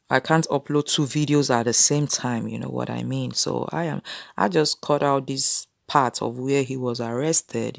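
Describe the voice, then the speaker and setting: A woman's voice: very deep voice, vocal fry, no room sound, clean studio sound. A woman in her thirties preaching casually to camera from a small room, earnest and exhorting, with many "you know" fillers.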